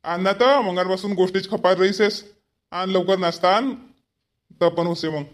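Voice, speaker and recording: A man's voice: high-pitched, fairly even intonation, moderate pace, natural voice. A man voicing a homely village husband and headman in a cartoon comedy, blunt in manner, with a Powari accent. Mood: impatient, hungry. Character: impatient but agreeable village husband and headman.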